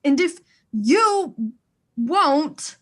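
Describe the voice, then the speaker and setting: A woman's voice: high-pitched, animated, extremely slow, a bit bright, exaggerated voice. A woman acting in a radio thriller, playing a fretful, imperious well-to-do wife who is indignant, demanding and stammers in agitation. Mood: furious, demanding.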